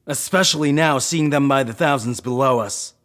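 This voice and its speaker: A man's voice: medium pitch, moderate pace, fairly bright tone, natural voice. Man playing a wary, mid-voiced crewman. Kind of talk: sci-fi audio drama acting.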